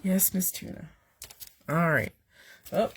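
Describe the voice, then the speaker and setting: A woman's voice: on the low side, slow, breathy edge. A woman with a cold hosting a live card-making stream from her home basement, giving a chatty, rambling step-by-step demonstration. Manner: chatty, rambling, with fillers and asides to her cat. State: has a cold, voice weak and coming and going. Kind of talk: casual step-by-step craft demonstration.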